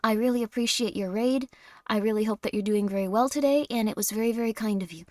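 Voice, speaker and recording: Tired, slightly raspy streamer woman. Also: a little high, fast, a little mellow, dry recording, clean studio sound. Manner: rambling, chatty, lots of fillers and laughs. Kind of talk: casual chat while playing a game.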